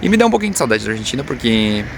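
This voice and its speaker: A man's voice: mid-range voice, big pitch swings, very fast, gravelly. A man with a Brazilian accent chatting casually to viewers as a travel vlogger, in a hesitant, rambling way. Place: outdoors on a city street. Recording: loud traffic and street noise.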